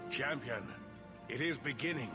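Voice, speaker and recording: An actor playing a weary, formal leader of mages with a bitter, desperate streak in a fantasy video game. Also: male voice, mid-range voice, normal speed, over loud music, close to an everyday voice. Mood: grave, formal. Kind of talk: video game cutscene acting.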